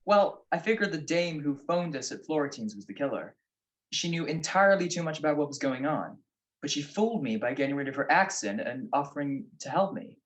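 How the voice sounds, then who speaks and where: A man's voice: on the high side, expressive, a little fast, lightly acted, low-quality recording. A man playing a shrewd, wry married private detective in a 1940s-style radio drama. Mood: smug, explaining. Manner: wry, teasing.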